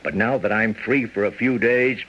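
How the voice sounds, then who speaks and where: A man's voice: fairly low pitch, normal speed, fairly bright tone. A man playing a jovial but unnervingly insistent army buddy in a radio drama, with an American accent. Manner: breezy, chuckling, insistent. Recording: muffled, noisy vintage radio recording.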